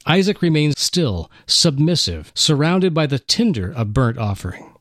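A man narrating a dramatic story slowly and deliberately, with expressive delivery. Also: a little high, no echo, studio quality. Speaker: dramatic reader, radio host.

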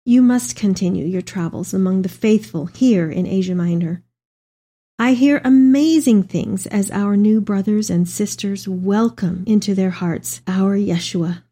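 A woman's voice: mid-range voice, measured pace, mellow. A woman playing an elderly, tender mother reading her letter aloud in a reflective dramatic reading. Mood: tender, fond.

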